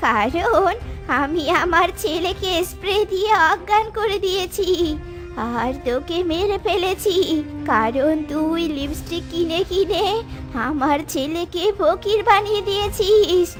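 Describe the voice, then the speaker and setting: A woman puts on a scheming old mother-in-law for a cartoon. Her speech is slow, sly and emphatic, with music clearly heard behind it. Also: extremely high pitch. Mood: cruel, gloating. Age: older. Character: scheming, guilty old grandmother, cruel mother-in-law villain. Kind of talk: Bengali children's cartoon voice acting.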